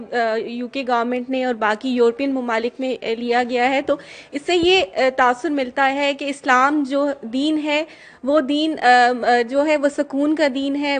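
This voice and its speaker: High-pitched woman, halting delivery. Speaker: community interviewee. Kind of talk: spoken opinion to news.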